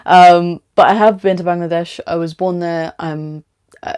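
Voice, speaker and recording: A woman's voice: lowish voice, fairly even intonation, normal speed, a little mellow, clean tone. A woman with a London British accent, hosting a livestream with story reading and casual chat.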